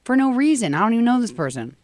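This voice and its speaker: A woman with a husky voice co-hosting a morning radio show, chatting animatedly and laughing often. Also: fairly high pitch, very animated, racing, dry recording. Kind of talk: casual morning radio banter. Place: radio studio.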